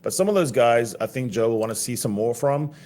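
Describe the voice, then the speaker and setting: A man's voice: medium pitch, lively intonation, fairly quick, darkish tone. A man from South Africa co-hosting a rugby podcast in casual chat, teasing and laughing often. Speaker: podcast co-host.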